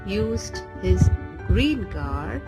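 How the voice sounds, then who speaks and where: A woman reading a bedtime story aloud for young children in a deliberate, expressive way. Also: mid-range voice, over music.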